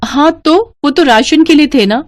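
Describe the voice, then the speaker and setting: A woman's voice: high-pitched, normal speed, no echo, voice pushed up, close to an everyday voice. A woman voicing a young, overworked newly married daughter-in-law in a cartoon story, earnest and easily fed up. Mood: fed up, insistent. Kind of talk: Hindi cartoon story dialogue.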